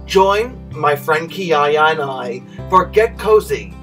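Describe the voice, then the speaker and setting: An eager man pitching his show in a bright, pushy promo voice that climbs high and loud. Guitar music plays behind him, and he sounds a little distant from the mic in a home stairway. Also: expressive, slow pace. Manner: emphatic, salesy, rising to loud. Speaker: host.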